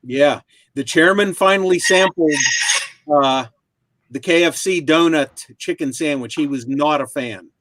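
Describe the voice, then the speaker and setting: A man's voice: fairly high pitch, unhurried, a bit bright, no room sound. A man hosting a casual chat show who keeps the segments moving. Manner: plain, moves the show along. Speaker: host.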